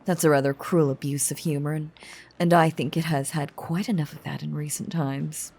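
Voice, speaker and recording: A woman's voice: sits low, little pitch movement, moderate pace, a little mellow, breathy edge. A woman playing an elegant ancient vampire in a scripted audio drama, teasing and coaxing with theatrical, old-fashioned formality. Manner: theatrical, archaic, velvety formal phrasing.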